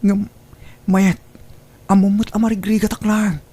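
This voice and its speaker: A man's voice: sits high, level tone, unhurried, warm tone. A man with an Ilocano accent playing a plain-spoken, self-interested young suitor in an audio drama. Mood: earnest.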